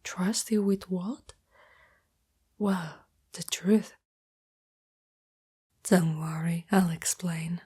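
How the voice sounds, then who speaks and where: A woman acts out a young goth monster girlfriend for ASMR roleplay, with a breathy, mostly whispered voice and an Italian accent. She is tender and insecure, coaxing slowly in a soft, dark tone. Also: fairly low pitch, fairly even intonation, heavily acted. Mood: gentle, secretive. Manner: slow, coaxing, hesitant.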